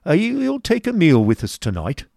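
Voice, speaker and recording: A coarse, hectoring schoolmaster barks in a raised, strained register, as a male reader puts him on. He is testy and bullying, his pitch swinging about. The sound is dry and close. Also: wildly swooping pitch, moderate pace, gravelly, dark tone, dry recording, full character voice. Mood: brusque.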